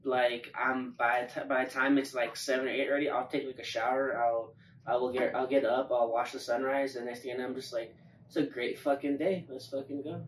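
A man's voice: mid-range voice, fairly even intonation, fairly quick, distant mic. A man speaks in a loose, rambling storytelling way, swearing freely. He sounds set back from the microphone in an echoey room, with faint noise behind him. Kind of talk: casual chat.

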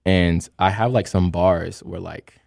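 A young man on a podcast, chatting casually and animatedly, often jumping into excited bursts. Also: sits low, unhurried, vocal fry, no echo, clean studio sound. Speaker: podcast co-host, aspiring rapper.

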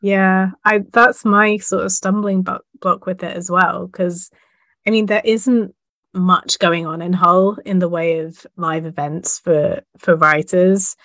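A woman's voice: lowish voice, fairly even intonation, slow, a bit bright, dry recording. A woman hosting a casual podcast interview, hesitant and self-deprecating, laughing often. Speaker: podcast host and editor.

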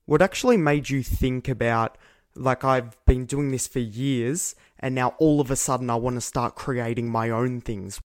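A man's voice: mid-range voice, fairly even intonation, normal speed, no echo, studio quality. A man interviewing a guest in a casual chat, asking easygoing, encouraging questions.

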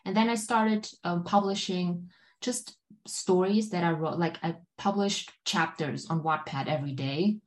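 Woman in her 20s, lowish voice, rambles with tons of "like"s. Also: measured pace. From Germany. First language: German. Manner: rambling, filler-heavy storytelling with many "like"s. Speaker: guest author. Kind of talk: casual podcast chat.

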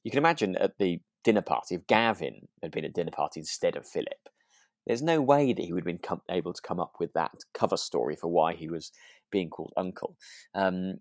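Male podcast co-host, lower register, tentative and rambling casual chat, dry sound. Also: a little fast, no echo. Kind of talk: casual chat about a radio drama.

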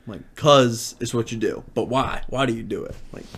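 Creaky-voiced man chatting casually. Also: medium pitch, normal speed, background noise. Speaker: podcast co-host. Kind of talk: casual chat.

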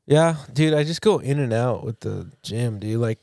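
A man's voice: mid-range voice, lively intonation, normal speed, creaky, a little mellow, dry recording, studio quality. A young man chatting casually as a podcast co-host in an informal, slangy and blunt way. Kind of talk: casual chat between friends.

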